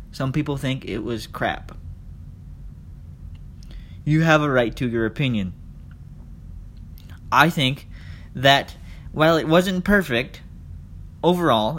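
A man hosting a casual solo podcast, speaking conversationally and addressing his listeners directly. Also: a bit bright. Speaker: podcast host. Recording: noisy with low background rumble.

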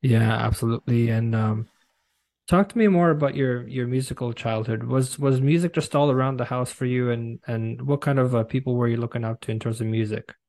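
A man hosting an interview podcast, speaking conversationally in a casual, somewhat halting way. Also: medium pitch, a little mellow.

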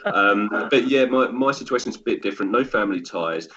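An English man in his thirties, a podcast guest telling relaxed stories with self-deprecating humor. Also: lowish voice, a little fast. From England. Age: 30s. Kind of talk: casual podcast interview. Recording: dull, lo-fi sound.

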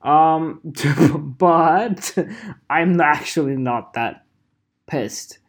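Brief: a vlogger with an unhurried, drawn-out delivery, cursing and joking through a mock rant. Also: medium pitch. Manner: profane, mock-angry, joking. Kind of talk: casual rant to camera.

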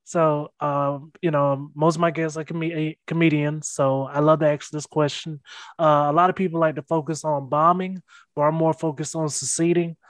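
A man's voice: fairly high pitch, level tone, normal speed, no echo. A man hosting a podcast, interviewing a comedian guest with many ums and uhs. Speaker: podcast host.

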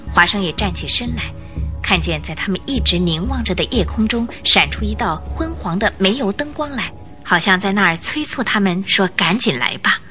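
A woman narrating a radio drama, telling the story in an expressive, suspenseful scripted style. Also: medium pitch.

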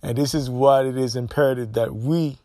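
A man's voice: mid-range voice, fairly even intonation, fairly quick, darkish tone, no echo. Emphatic man. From Haiti. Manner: opinionated, emphatic, repeats himself. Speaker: podcast host. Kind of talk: solo podcast monologue.

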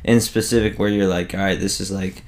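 A man's voice: low voice, fairly even intonation, brisk. A man hosting a local podcast, asking casual questions with lots of "like" and "you know what I mean". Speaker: podcast host.

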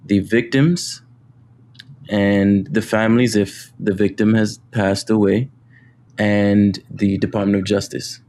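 A man speaking as a guest on a podcast, talking humbly about his work with many pauses and fillers. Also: on the low side, flat delivery, a little mellow, slight background noise. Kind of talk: casual interview.

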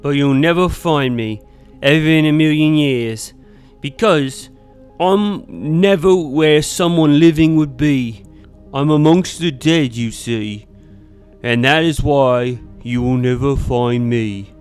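Give a teenage boy posing as a British villain, acted out by a man. The accent is laid on thick and put-on, the manner taunting and boastful, the pitch lifted, over faint music. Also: slow, smooth. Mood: taunting, brash. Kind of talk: storytelling character voice.

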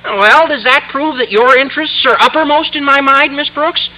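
An actor playing an eager, scheming high school boy with a squeaky adolescent voice in an old radio comedy. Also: moderate pace, sharp tone, exaggerated voice, rough recording. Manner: earnest, wheedling, self-justifying. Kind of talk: 1950s radio comedy acting. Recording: old radio broadcast recording.

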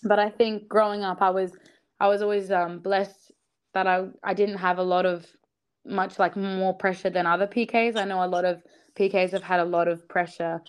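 A woman's voice: medium pitch, level tone, normal speed, clean tone. Upbeat young woman. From South Australia. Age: young adult. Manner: earnest and upbeat, laughs often, fillers like "you know". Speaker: guest. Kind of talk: casual podcast interview.

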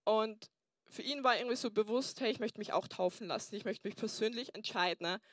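A woman's voice: mid-range voice, fairly quick, no echo, clean studio sound. A young woman pastor preaching a sermon and telling her personal testimony, earnest with frequent fillers. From Bavaria, Germany.

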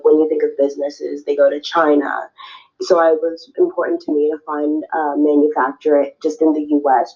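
A woman's voice: low voice, mellow. A young woman raised in Cameroon and California, answering interview questions in a loose, rambling way with many fillers. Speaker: guest, swimwear business owner. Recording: dull lo-fi recording.

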